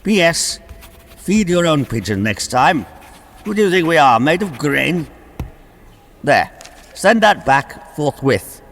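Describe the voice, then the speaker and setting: A man's voice: on the high side, big pitch swings, slow, pauses often, a bit bright, exaggerated voice. A man playing an imperious, petulant king in a comic radio play, pompous and indignant. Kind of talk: radio comedy drama acting. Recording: long reverberant hall.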